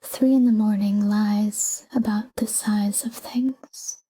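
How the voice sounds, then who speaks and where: A woman guiding a sleep meditation in a calm, hushed, breathy whisper, soothing and soft. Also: mid-range voice, slow, low-quality recording.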